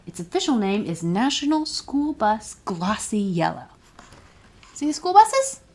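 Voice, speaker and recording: A woman's voice: mid-range voice, big pitch swings, a little slow, warm tone. A woman reading a children's picture book aloud as a storytime librarian, expressively and with questions put to young listeners.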